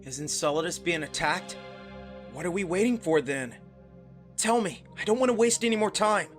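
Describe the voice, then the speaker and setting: A man's voice: sits high, expressive, moderate pace, soft background music. A man playing an earnest, newly awakened engineered angel soldier in a visual novel game. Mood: impatient. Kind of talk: visual novel voice acting, inner monologue and dialogue.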